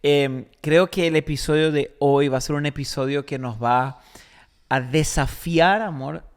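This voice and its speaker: A man's voice: fairly high pitch, steady tone, a little slow, smooth. A man with a Paraguayan Spanish accent, a podcast host and pastor from Paraguay, talking casually and telling stories with emphatic repetition. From Paraguay, born in Argentina. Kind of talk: casual conversational religious podcast talk.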